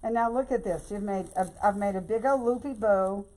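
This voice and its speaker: An older woman with a hoarse voice and a Louisiana Southern accent, chatting folksily through a bow-making tutorial. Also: medium pitch, brisk, dark tone, poor audio. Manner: chatty and folksy, keeps saying y'all. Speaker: craft tutorial host. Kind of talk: casual bow-making demonstration.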